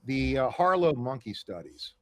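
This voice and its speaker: A man's voice: medium pitch, expressive, normal speed, no room sound. Questioning male podcast co-host. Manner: probing questions, restarts. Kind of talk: podcast discussion.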